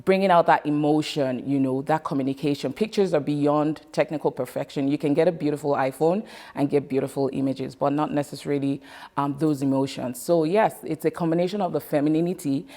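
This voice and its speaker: A woman's voice: deep voice, fairly even intonation, a little fast, mellow. A woman who runs a photography business, answering interview questions and stopping to search for words.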